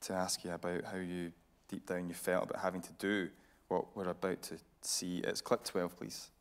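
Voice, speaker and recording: A man's voice: sits low, little pitch movement, normal speed, a little hiss or hum. A man interviewing a guest on stage in a conversational style, as a TV reporter. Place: radio theatre stage.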